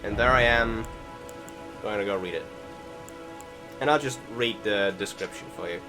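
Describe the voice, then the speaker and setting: A man with a fairly low voice narrates his recorded gameplay in a casual way. He rambles, stops and restarts sentences as he thinks. Music plays clearly behind him. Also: normal speed. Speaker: gaming video host.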